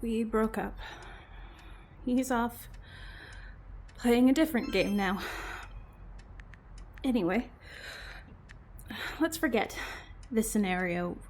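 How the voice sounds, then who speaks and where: A woman acting an earnest, wounded female game master in an audio drama, speaking with deliberate, emotive delivery. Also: a little high, a little mellow, slight background noise. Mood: subdued, resolute. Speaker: voice actor in an amateur audio drama.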